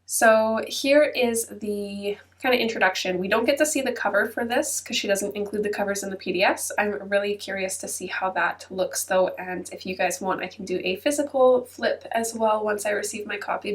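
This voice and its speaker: A woman's voice: mid-range voice, brisk, few pauses, far from the mic. A woman hosting her own channel, chatting casually over a flip-through with a congested, stuffy-nosed voice and plenty of ums and hedges. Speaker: channel host. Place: at her computer.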